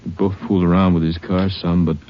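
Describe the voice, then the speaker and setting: An actor playing a wounded, grieving middle-aged country deputy in a 1950s radio drama, speaking in a weak, halting, folksy way. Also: male voice, low voice, little pitch movement, a little hiss or hum, close to an everyday voice. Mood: dazed, halting. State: injured, dazed. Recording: dull, muffled old radio recording.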